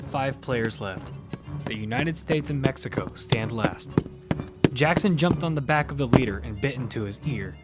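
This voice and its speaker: A man earnestly narrating a dramatic first-person action story in scripted audio fiction. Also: medium pitch. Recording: loud music bed behind the voice. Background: loud music.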